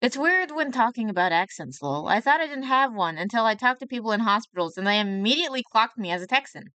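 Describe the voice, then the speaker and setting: Young woman streamer, chatty and self-mocking, resonant and crisp, recorded dry and close. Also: on the high side, animated, fast, sharp tone, dry recording. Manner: chatty, self-deprecating, laughs often. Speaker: streamer reacting to videos. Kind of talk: casual live-stream chat.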